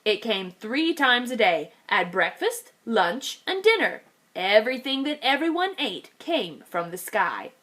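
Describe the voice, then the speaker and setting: A woman teacher reading a picture book aloud to young children, with a lively, expressive storytelling delivery. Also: a little high, a little slow. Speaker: kindergarten teacher.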